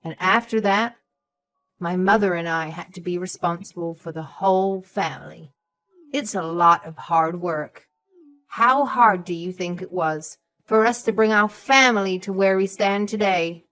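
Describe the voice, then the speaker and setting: A woman's voice: fairly low pitch, unhurried, soft background music. A woman playing a haughty, suspicious elderly mother-in-law, scornful and condescending, in a scripted audio story. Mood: bitter, stubborn. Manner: condescending, sharp, calls others sweetheart and darling.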